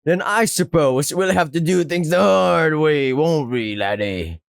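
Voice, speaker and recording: Brief: male reader playing a menacing barracuda pirate extortionist, blustery pirate talk, swinging lively pitch, very dry close sound. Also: measured pace, gravelly, smooth, no room sound. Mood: threatening. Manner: pirate talk with jumping accent and har-har laughs.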